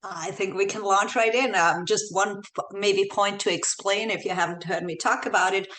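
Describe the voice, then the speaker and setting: A woman's voice: medium pitch, fairly even intonation, normal speed, a bit bright, poor audio. A woman from Austria with an Austrian accent, a guest researcher explaining a report in a podcast interview with frequent ums and uhs. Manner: explanatory, with fillers like um and uh. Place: home office in the Bay Area.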